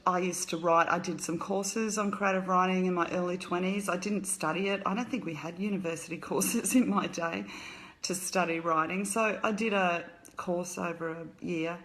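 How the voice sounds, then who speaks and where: A woman in her fifties speaks with an Australian accent and a slightly low voice. She chats on a live stream from her home at night, rambling between her story and hellos to viewers, with frequent small laughs. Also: steady tone, moderate pace, a bit bright, low-quality recording. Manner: chatty, rambling, greets viewers and laughs often. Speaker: author hosting a live stream. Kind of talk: casual live stream chat.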